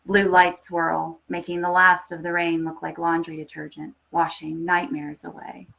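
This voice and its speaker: Woman podcast host, slightly low voice, reads stories and chats, pretty enthusiastic. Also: level tone, moderate pace, gravelly, sharp tone, poor audio. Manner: enthusiastic, chatty. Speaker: podcast host and story reader. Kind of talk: dramatic story reading, then casual live hosting.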